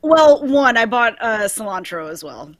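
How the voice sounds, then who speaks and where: A woman chatting casually with friends on a livestream call, wry and deadpan about her own news. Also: a little high, measured pace, dry recording. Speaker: cast member. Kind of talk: casual chat.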